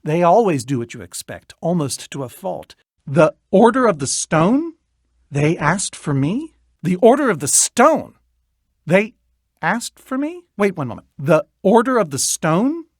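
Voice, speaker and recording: A middle-aged man voicing an eccentric, lonely, excitable researcher in a video game, with verbose, pompous, theatrical diction. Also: high-pitched, a little slow, no echo, studio quality, heavily acted. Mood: wry, then hopeful, flattered. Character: eccentric, lonely, excitable male researcher obsessed with studying creatures. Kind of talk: animated video game voice acting.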